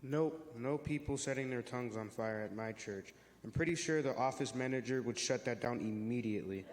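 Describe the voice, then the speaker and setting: Young male reader as friendly teen explainer, medium pitch, church stage reading, long reverb. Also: moderate pace, slight background noise, lightly acted. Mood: friendly, explaining. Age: teenager or young adult. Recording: echoey church hall.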